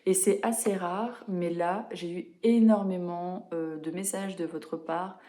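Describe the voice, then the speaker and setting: A young woman chatting casually about books she has read, with many fillers and quick self-corrections. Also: lowish voice, steady tone, mellow. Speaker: book vlogger. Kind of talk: casual book review chat.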